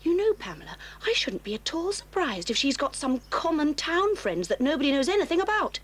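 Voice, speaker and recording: Haughty, high-pitched, spiteful schoolgirl. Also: big pitch swings, brisk. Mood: nosy disapproval. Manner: prim, haughty, indignant. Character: snobbish, sly, spiteful schoolgirl at boarding school. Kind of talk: 1980s children's audio drama acting.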